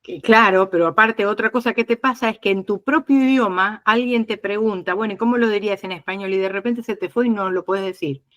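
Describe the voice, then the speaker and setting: A woman's voice: medium pitch, a little fast, few pauses, fairly bright tone. A middle-aged woman from Argentina with an Argentine accent, talking casually as an interview guest and explaining at length with many fillers and restarts. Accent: Argentine Spanish. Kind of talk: casual conversation.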